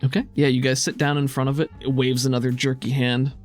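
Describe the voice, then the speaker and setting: A man acts as game master for a tabletop role-playing game. His voice is medium in pitch, and he describes scenes in an easygoing, encouraging way over faint music. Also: little pitch movement, fast talker.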